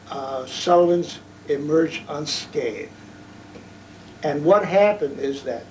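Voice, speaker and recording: A man's voice: fairly high pitch, darkish tone, a little hiss or hum, rough recording. A man in his nineties, a Navy veteran telling rambling wartime stories aboard his old ship, restarting sentences and chuckling as he goes. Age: older. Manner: rambling, self-correcting anecdotes with chuckles. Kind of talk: reminiscing storytelling. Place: aboard an old destroyer, indoors and on deck.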